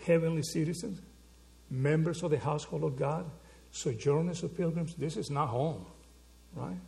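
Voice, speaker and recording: A man's voice: fairly high pitch, normal speed, creaky, a little mellow. A man from Argentina, preaching a sermon, asking rhetorical questions and checking in with "okay?" and "right?". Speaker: pastor.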